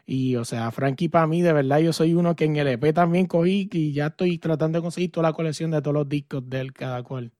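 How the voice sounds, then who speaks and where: A man's voice: on the high side, quick pace, hardly any pauses, warm tone, dry recording, clean studio sound. A man hosting an interview, chatty and enthusiastic, clipping his words. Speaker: interviewer, host. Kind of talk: casual interview.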